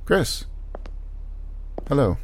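An actor playing a fussy, awkward but kind scientist in an audio drama, with a husky, halting, precise delivery. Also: male voice, slight background noise. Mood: awkward, guarded. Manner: halting, hesitant, mild-mannered and precise. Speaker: scientist and doctor.

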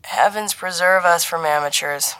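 A woman's voice: lowish voice, normal speed, sharp tone, poor audio. A woman voicing a male intelligence handler on the phone in an audiobook, mild and wry with a teasing streak. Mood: dry. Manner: mild, teasing, turning serious. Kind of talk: dramatic audiobook character voice.

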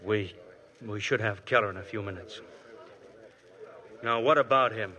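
An actor playing a police inspector in a 1950s radio drama, speaking briskly and giving orders. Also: male voice, on the low side, sharp tone, lo-fi sound. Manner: brisk, questioning, commanding. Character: firm, commanding male police inspector.

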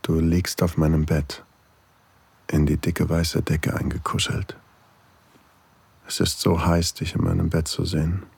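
An actor playing an older single father, tender and longing, in an intimate, softly confiding audio drama narration with a husky, gravelly voice. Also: a bass voice, monotone, unhurried, long pauses, exaggerated voice.

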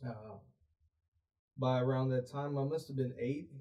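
A man's voice: medium pitch, unhurried, dark tone. A young man raised in Mexico, a podcast guest chatting casually, rambling with many fillers and restarts. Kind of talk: casual interview chat.

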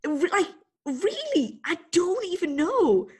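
A high-pitched woman chatting on a podcast, her voice swooping up and down as she giggles through her stories. She talks casually and animatedly, laughing often. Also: very animated, takes it slowly. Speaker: podcast co-host. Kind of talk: casual chat.

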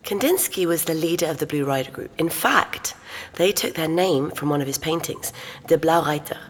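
An actress playing a knowledgeable, obliging museum archivist who patiently explains art as a guide in an audio drama. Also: female voice, low-pitched, expressive, normal speed, slight background noise. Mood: explaining. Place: inside a museum. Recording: reverberant museum hall.

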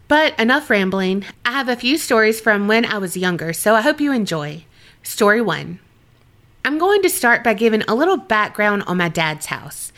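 A woman co-hosting a podcast in an easygoing way, reacting with quick laughs and reading listener letters in a steady voice. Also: fairly high pitch. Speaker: podcast co-host. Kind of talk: casual chat and reading listener stories.

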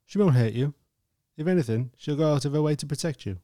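A woman voicing a calm young man who speaks softly and reassuringly in a lowered voice. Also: fast, no room sound, clean studio sound. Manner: soft, low, soothing.

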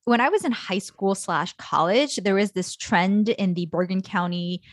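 A woman co-hosting a casual talk, telling personal stories in an animated, self-deprecating way and peppering her speech with "like". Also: medium pitch, normal speed, no echo, clean studio sound. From Guatemala. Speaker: co-host. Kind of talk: casual personal storytelling in banter.

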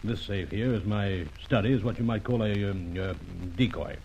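An actor playing a haughty, defensive, shady art dealer in an old radio drama, pompous and clipped. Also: male voice, on the low side, normal speed, a little mellow, lightly acted. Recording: muffled, noisy old radio drama recording.

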